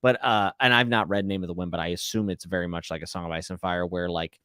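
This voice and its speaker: A man's voice: low voice, fairly even intonation, quick pace, fairly bright tone, clear, resonant tone, no echo, studio quality. A man co-hosting a casual book discussion, speaking earnestly and emphatically and quoting imagined readers. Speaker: co-host on a book readalong. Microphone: close microphone on a live video chat.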